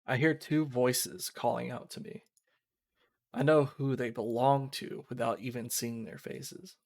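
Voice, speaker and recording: A man giving casual commentary on a visual novel playthrough, explaining things in a rambling, halting way. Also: mid-range voice, steady tone, gravelly, darkish tone. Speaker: game streamer. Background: game music.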